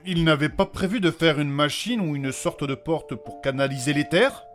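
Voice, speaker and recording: A man playing an excitable, skeptical adventurer in a French comedic fantasy audio drama, theatrical and exclamatory. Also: fairly high pitch, moderate pace, soft background music, lightly acted. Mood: explanatory.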